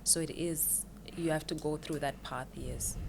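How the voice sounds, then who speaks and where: Woman, deep low voice, guest explaining, repeats words, slight background hiss. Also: steady tone, unhurried, vocal fry. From Zimbabwe. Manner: explanatory, with frequent repeated words and small laughs. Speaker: financial planner guest. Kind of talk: casual interview answers.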